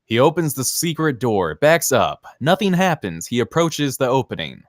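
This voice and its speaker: Man reading screenplay directions, lively and swooping. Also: mid-range voice, normal speed, no room sound, studio quality. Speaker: stage-direction reader at a table read. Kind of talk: scripted screenplay narration.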